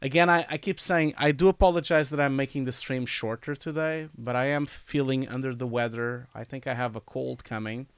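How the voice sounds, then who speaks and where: A man's voice: mid-range voice, fairly even intonation, fairly quick, hardly any pauses, clear, resonant tone, dry recording. Man with a cold hosting a stream. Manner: reads chat questions aloud, hesitant with many fillers. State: nasal, scratchy throat from a cold. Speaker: live stream host answering chat. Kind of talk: casual live Q&A. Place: home study with bookshelves, indoors. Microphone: studio mic on boom, wearing headphones.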